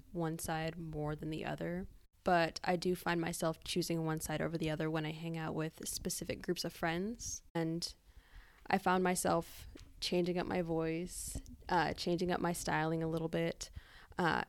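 A woman's voice: lowish voice, creaky. A young woman with an American accent, candidly sharing personal experience as a podcast guest.

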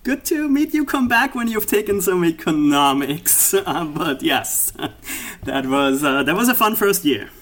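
A man's voice: sits high, very animated, unhurried, a bit bright. A man in his forties with an Austrian accent, a guest economist answering interview questions hesitantly with many fillers. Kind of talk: interview conversation.

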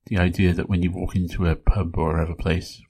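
Low husky-voiced man explaining. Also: little pitch movement, dark tone. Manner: measured, explanatory, works through points one by one. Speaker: podcast host. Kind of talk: solo educational podcast talk.

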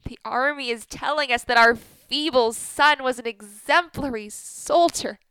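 Woman playing a bitter, commanding older matriarch, high-voiced. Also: measured pace, bright, forceful tone, clean tone, dry recording. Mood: grieving, bitter. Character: bitter, commanding older wife and bereaved mother. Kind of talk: radio play acting.

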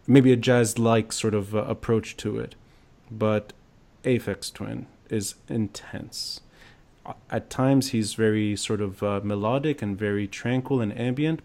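A man's voice: mid-range voice, creaky, a little mellow. A man from Lebanon hosting a conversational podcast interview, thoughtful and reflective, piecing his thoughts together as he goes. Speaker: podcast host.

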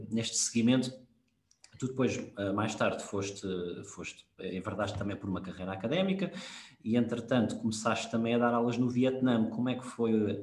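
A man's voice: medium pitch, echoey room. A man with a European Portuguese accent interviewing a guest, asking informal and somewhat stumbling questions. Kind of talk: conversational interview.

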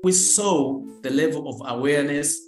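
A man's voice: fairly high pitch, normal speed, darkish tone, soft background music. A man with a Tanzanian accent giving explanatory interview answers as a guest, often repeating his key points. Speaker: interview guest, course participant. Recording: echoey room sound.

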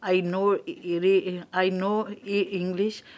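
A woman from Pakistan, a former teacher, giving halting interview answers as she searches for her words. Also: lowish voice, level tone.

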